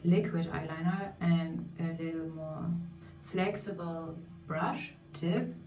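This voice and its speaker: Woman doing her makeup on camera, english not her first language, mellow low-ish voice, echoey room, faint music behind. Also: slow pace, far from the mic. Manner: reflective, candid, searching for words in English. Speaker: beauty vlogger. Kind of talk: casual chat while doing makeup.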